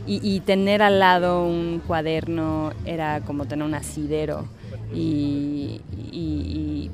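A woman's voice: on the low side, a little mellow. A woman writer with a slightly unusual Mexican Spanish accent, answering interview questions thoughtfully and searching for her words.

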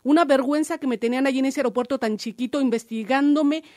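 A woman's voice: high voice, quick pace, a little mellow, no room sound, clean studio sound. A woman radio host in conversational storytelling, addressing listeners directly. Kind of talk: radio discussion with personal story.

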